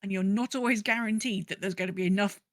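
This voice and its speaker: A woman chats casually as a podcast co-host. Her voice sits at an ordinary middle pitch and the sound is dry and close. She talks conversationally, circling back on phrases to stress her point. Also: quick pace, dry recording. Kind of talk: casual podcast chat about a video game.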